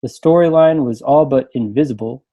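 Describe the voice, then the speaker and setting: A man's voice: mid-range voice, normal speed, dull audio. A man chatting casually on a book panel and reading short written reviews aloud.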